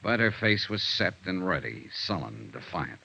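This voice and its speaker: An actor playing a hard-boiled insurance investigator, narrating crisply and dramatically in 1950s radio detective style. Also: male voice, low-pitched, sing-song, moderate pace, bright, forceful tone, exaggerated voice. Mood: reflective, noirish. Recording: dull vintage radio recording.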